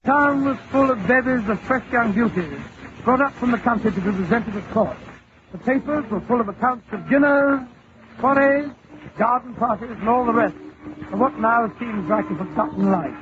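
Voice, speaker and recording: An actor playing a genial older English doctor narrating a 1940s radio drama. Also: male voice, very high voice, normal speed. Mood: reminiscing. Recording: noisy, muffled old radio recording.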